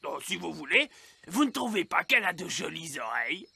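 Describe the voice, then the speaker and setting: A man's voice: on the high side, very animated, fairly quick, gravelly, sharp tone, husky, dry recording, full character voice. An actor playing a chatty, food-loving male gnome in a children's cartoon dub, theatrical and singsong. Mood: lovestruck. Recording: music behind the voice.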